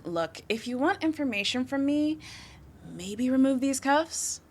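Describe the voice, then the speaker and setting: Woman playing a smug flirty lady crook in an audio roleplay, kinda high voice, swoopy and teasing. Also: lively intonation, slight background noise. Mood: defiant, coy. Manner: teasing, confident, coy, drawn-out delivery.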